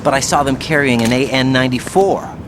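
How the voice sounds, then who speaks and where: A man's voice: mid-range voice, fairly quick, close to an everyday voice. An actor playing a young male special forces operative in a video game cutscene, wary and always questioning. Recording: game mix with clear background noise.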